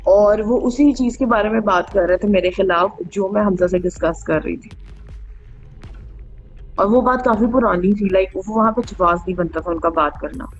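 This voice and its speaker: A woman's voice: mid-range voice, darkish tone. A woman casually telling personal stories as a show host, chatty and mixing in English phrases. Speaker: host of true ghost story show. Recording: dull band-limited audio, background music. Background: music under the voice.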